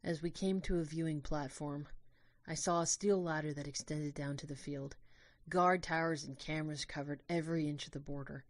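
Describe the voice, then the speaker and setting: Low-voiced woman narrating, very dry sound. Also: steady tone, moderate pace, no echo. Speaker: audio drama narrator. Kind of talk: scripted first-person story narration.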